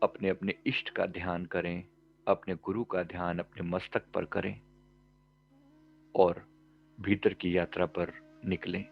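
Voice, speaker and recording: A man leading a guided meditation as a teacher, giving calm, measured instructions in short phrases with pauses between them. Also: low-pitched, vocal fry, highs cut off. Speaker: meditation teacher and life coach.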